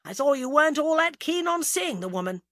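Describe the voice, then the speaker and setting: A woman voicing a polite, composed, teasing upper-class ex-military man in an audiobook, with measured delivery. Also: mid-range voice, pushed and bright, no room sound, studio quality. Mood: plaintive. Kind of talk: audiobook character acting.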